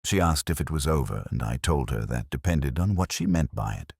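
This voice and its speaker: Very deep, brooding detective played by a man. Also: animated, gravelly, a little mellow, husky, dry recording, clean studio sound, full character voice. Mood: grave, restrained. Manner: measured, reflective, formal. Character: brooding, melancholy older male Oxford police detective. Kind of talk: literary first-person scripted narration.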